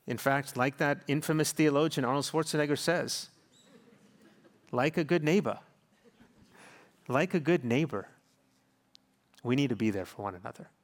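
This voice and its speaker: A man in his thirties preaches to his congregation, his voice sitting in an ordinary middle register. He tells stories and prays in an earnest, pastoral manner. A long reverb trails after him, as in a large church hall. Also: mid-range voice. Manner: warm storytelling, earnest pastoral delivery. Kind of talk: sermon with closing prayer.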